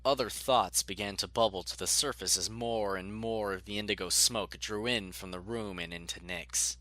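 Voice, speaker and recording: Low, forceful male story narrator. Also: normal speed, dry recording. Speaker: audiobook narrator. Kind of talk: scripted dramatic story narration.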